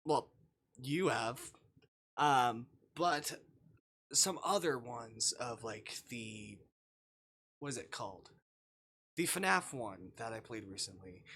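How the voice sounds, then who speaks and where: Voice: mid-range voice, pushed and bright, slight background noise. A streamer chatting casually and reading a visual novel aloud, rambling, jokey and easily sidetracked.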